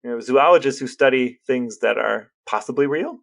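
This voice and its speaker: Male co-host, gravelly fry in a mid-pitched voice, wry and casual. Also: normal speed, fairly bright tone. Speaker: podcast co-host. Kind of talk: casual chat.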